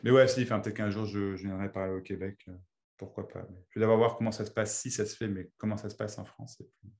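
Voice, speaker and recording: A man with a lowish voice hosts a relaxed online meeting, talking loosely and circling back on himself. He keeps restarting his sentences as he goes along. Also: quick pace. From Belgium. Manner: rambling, with many restarts and fillers. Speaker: meeting host. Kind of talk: casual chat on a video call. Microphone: video call.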